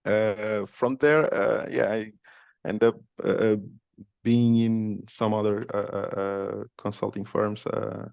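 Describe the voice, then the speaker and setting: A man's voice: lowish voice, level tone, takes it slowly, creaky, darkish tone, smooth, no echo. A man from Cape Verde, a podcast guest, talking casually and hesitantly, with many fillers and restarts. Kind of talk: casual interview.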